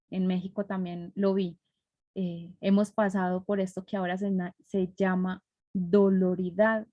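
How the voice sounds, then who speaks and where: Lady hosting an online panel, animated and chatty, middle pitch, slightly lo-fi call sound. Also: no echo. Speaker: panel moderator. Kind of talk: casual panel moderation in an online talk.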